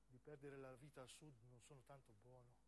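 A man's voice: medium pitch, flat delivery, fairly quick, a little hiss or hum, voice pushed down, natural voice. A man playing a homesick young southern policeman, confiding wearily and plaintively as if to his mother in a radio monologue. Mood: weary, homesick.

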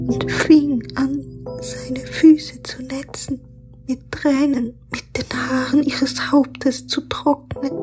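An actress playing a guilt-ridden, anguished poor woman at prayer in a German radio drama, whispering and breathy. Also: high voice. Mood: pleading remorse. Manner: very slow, halting, fervent.

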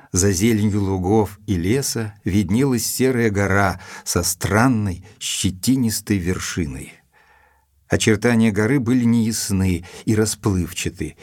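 An actor playing an observant gentleman doctor, narrating his adventure in measured, literary fashion for an audio drama. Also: lowish voice, dry recording, clean studio sound. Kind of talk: scripted first-person narration in audio drama.

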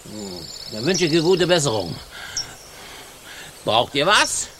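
Sly, slow-drawling peddler, swooping pitch. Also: male voice, very animated, noisy background. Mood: hawking wares. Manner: colloquial, salesman-like, clipped folksy phrasing. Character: wheedling, sly male travelling tinker and peddler. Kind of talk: radio drama acting. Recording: loud outdoor insect and animal ambience.

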